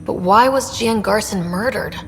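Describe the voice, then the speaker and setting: A woman's voice: medium pitch, normal speed, creaky, a little hiss or hum, lightly acted. Woman as young explorer in long reverb. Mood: concerned. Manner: direct, questioning. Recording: long reverberant hall sound.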